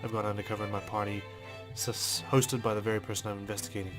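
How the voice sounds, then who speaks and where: A man reading visual novel text aloud as a let's player, in a measured, understated storytelling manner with brief asides. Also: on the low side, little pitch movement. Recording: music behind the voice. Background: game music.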